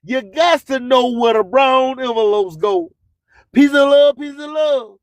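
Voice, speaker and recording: A man hosting a radio show with a preachy, slogan-filled motivational monologue, speaking in deliberate phrases and rhyming wordplay. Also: very high voice, no room sound.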